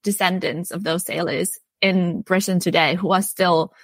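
A woman's voice: lowish voice, fairly even intonation, normal speed, no echo. Chatty young woman from Thailand. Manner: friendly, chatty, many "like" and "um" fillers. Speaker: author and guest. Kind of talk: casual interview chat.

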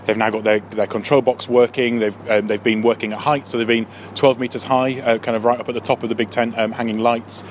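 A man's voice: medium pitch, steady tone, brisk, few pauses. A man giving an informal, explanatory interview on location as an official, repeating words as he goes. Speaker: council head of leisure, interview guest. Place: inside a big top tent. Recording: faint on-location background noise.

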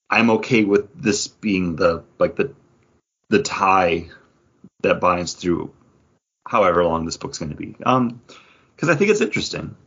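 A man's voice: low voice, fairly bright tone, slightly rough, lo-fi sound. A man co-hosting a podcast, chatting casually and thinking aloud with frequent hedges. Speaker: comics podcast co-host. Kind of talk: casual chat about comic books.

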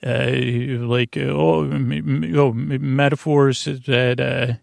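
Creaky-voiced man rambling soothingly. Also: mid-range voice, steady tone, darkish tone, dry recording, clean studio sound. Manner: meandering, halting, full of tangents and self-interruptions; soothing, lulling. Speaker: podcast host. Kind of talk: rambling bedtime monologue to help listeners sleep.

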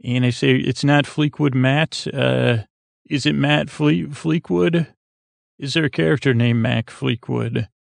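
A man with a gravelly voice hosting a sleep podcast, rambling whimsically and haltingly, often trailing off and circling back. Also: mid-range voice, fairly even intonation, dry recording, clean studio sound. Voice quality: very creaky, gravelly. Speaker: podcast host.

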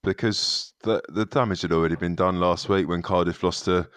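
A man co-presents a football podcast in a very low, smooth, resonant voice. His manner is dry and wry. The sound is close and dry. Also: fairly even intonation, moderate pace, a bit bright, dry recording. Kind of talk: casual football podcast chat.

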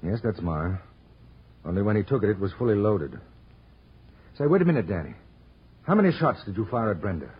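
Brisk, husky radio detective. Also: male voice, lowish voice, big pitch swings, heavily acted. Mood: insistent, wry. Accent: American. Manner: quick, wisecracking, insistent. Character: brisk, self-assured American private detective, wry and persistent. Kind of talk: 1940s radio detective acting. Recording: dull, lo-fi old radio recording.

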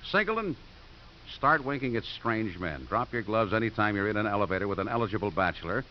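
A man's voice: fairly low pitch, expressive, fairly quick, lightly acted. An actor playing a defiant, sarcastic American father in a 1950s radio drama, theatrical and combative. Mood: bitter, mocking. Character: defiant, sardonic father fighting for his daughter's custody. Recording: dull, hissy old radio recording.

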